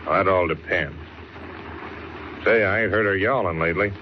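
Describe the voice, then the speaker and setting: An actor playing a gruff, impatient gangster boss in 1940s radio style, talking in tough street slang. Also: male voice, deep voice, moderate pace, natural voice. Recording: muffled, hissy old radio recording.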